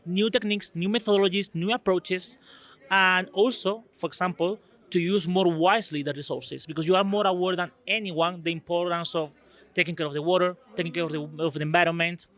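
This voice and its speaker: Earnest man, fairly high voice. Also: steady tone, brisk, a bit bright. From Spain. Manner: earnest, searching for words, non-native phrasing. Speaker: EU delegate guest. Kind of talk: conversational interview answers.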